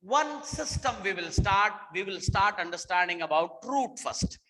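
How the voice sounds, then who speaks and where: A man with an Indian English accent teaching biology in a bright, high voice. He repeats each point and keeps checking on his students. His voice rings out with a long reverb around it. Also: expressive, unhurried. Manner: repetitive, questioning students, mixes in Hindi. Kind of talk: online botany lesson.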